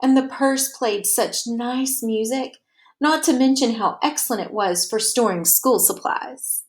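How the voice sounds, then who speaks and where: A woman reads a children's picture book aloud as a storyteller, with expressive, deliberate narration that leans on key words. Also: fairly high pitch.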